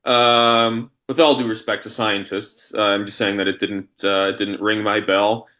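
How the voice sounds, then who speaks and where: A man born in Poland and raised in the United States, answering interview questions in a halting, deliberate, conversational way with repeated starts. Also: on the low side, level tone, pushed and bright. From Polish-born, raised in the United States. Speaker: interview guest, history scholar and university teacher.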